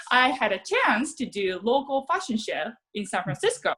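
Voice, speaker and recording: A woman from Japan, speaking English with a Japanese accent, telling her story as an actress guest in a casual interview, chatty and quick to laugh. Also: high voice, sing-song, a bit bright, low-quality recording. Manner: chatty, laughs often, restarts sentences.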